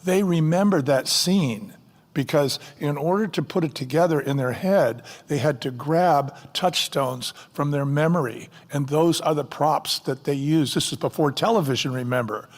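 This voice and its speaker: A man with a somewhat high voice talks emphatically from a conference stage, driving his points home with punchy rhetorical questions. His voice carries a long hall reverb. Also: normal speed. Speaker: company co-founder on stage. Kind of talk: conference fireside chat.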